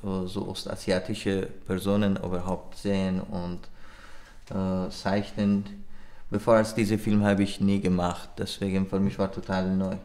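Slow, low-voiced man with a Persian accent. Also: level tone, dark tone. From Iran. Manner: halting non-native speech with simple, broken grammar. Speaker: illustrator and animation artist. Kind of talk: informal interview explaining own work. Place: indoor art studio at a desk.

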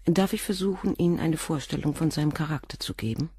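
An actress playing a composed middle-aged doctor's wife and former nurse, speaking frankly and in a measured way in a radio drama. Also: female voice, sits low, warm tone, breathy edge, no echo. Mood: earnest. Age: 40s. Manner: measured, frank, controlled confession. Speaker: witness questioned by the inspector.

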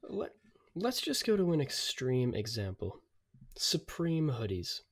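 A man's voice: mid-range voice, sing-song, unhurried, slightly rough. A man co-hosting a podcast, speaking in casual discussion and arguing his opinions with repeated words. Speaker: podcast co-host.

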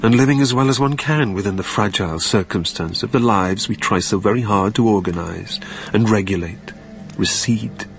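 A man plays a weary, melancholy dead man in an audio drama. His voice is low and he speaks in measured, lyrical, reflective phrases. Music plays clearly behind him. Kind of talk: literary audio drama narration.

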